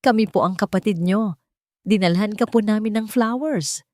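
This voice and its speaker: A woman's voice: mid-range voice, normal speed, dry recording, voice pushed up, lightly acted. A woman voicing a cheerful, polite little boy in a storybook reading. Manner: polite and lively.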